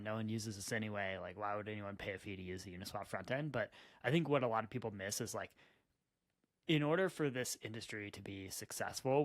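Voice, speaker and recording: A man with a lowish voice co-hosts a podcast with quick, wry humor. He gives firm opinions in casual chat. His voice sounds dry and close, with no room around it. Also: dry recording. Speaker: podcast co-host. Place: Utah.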